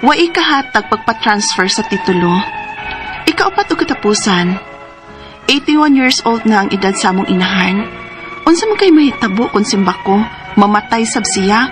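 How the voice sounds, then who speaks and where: A woman reading a listener's letter aloud on a radio advice program, in a steady, measured way. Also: mid-range voice. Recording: radio studio read over background music. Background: background music.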